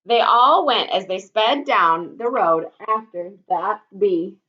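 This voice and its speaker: A woman's voice: mid-range voice, lively intonation, slow. A woman reading a children's story aloud expressively, like a teacher, in slightly dull audio.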